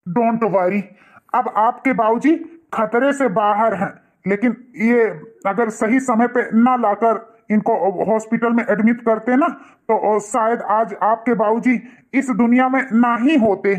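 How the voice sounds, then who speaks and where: A man's voice: very high voice, steady tone, slow. Guy as serious cartoon hospital doctor, formal, kinda lecturing. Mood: grave, reassuring. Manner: formal, repetitive, cautioning. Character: male hospital doctor, grave, reassuring, lecturing. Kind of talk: cartoon dialogue.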